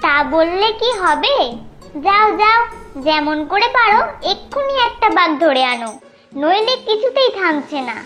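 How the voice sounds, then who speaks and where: A woman's voice: extremely high pitch, very animated, a little slow, fairly bright tone, faint music behind, exaggerated voice. A woman voicing a bossy, scolding mother fox, protective of her cubs, in a children's fairy-tale cartoon. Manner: nagging, demanding.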